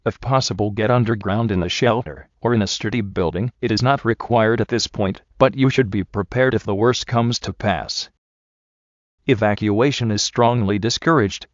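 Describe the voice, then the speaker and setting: A man playing a solemn emergency broadcast announcer, reading scripted warnings in a formal, measured manner. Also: lowish voice, natural voice. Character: solemn male emergency alert system announcer. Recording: dull lo-fi, roomy echo.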